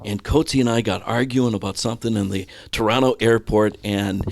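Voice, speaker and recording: A man telling stories in a casual, wry, conversational way as a podcast guest and radio broadcaster, with a gravelly voice. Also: fairly low pitch, steady tone, a little fast, a little hiss or hum. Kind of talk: casual storytelling banter.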